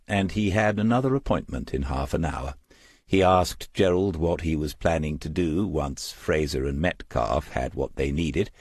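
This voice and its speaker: A man reading a scripted crime novel as an audiobook narrator, in a measured storytelling manner. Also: low-pitched, a little mellow, dry recording, studio quality.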